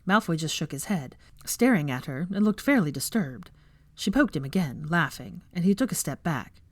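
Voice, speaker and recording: A woman reading fan fiction aloud as narrator, in expressive scripted storytelling. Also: low-pitched, normal speed, dry recording.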